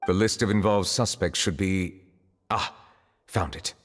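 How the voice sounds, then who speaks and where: A man playing a formal, reserved official of high rank in a video game, speaking in a measured, stately way. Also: big-room sound, slight background noise.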